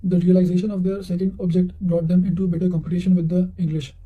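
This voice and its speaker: A man reading quotations aloud for exam revision in a measured way, sometimes stumbling and repeating words. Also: high voice, little pitch movement, narrow, tinny audio. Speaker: narrator of exam revision notes.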